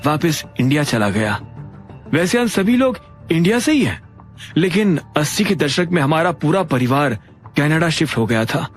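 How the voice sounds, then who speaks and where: A man voicing a grave, earnest uncle in an audio drama, explaining in a deliberate way. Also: fairly high pitch. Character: older uncle, measured, grave family patriarch confiding secrets.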